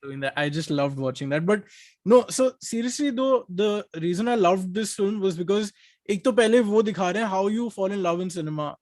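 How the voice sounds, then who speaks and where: A man with an Indian accent and a noticeably high voice talks casually about film as a podcast co-host. He is enthusiastic and rambling, repeating words while he thinks. The sound is very dry, with no room to it. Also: brisk, a little mellow, no room sound.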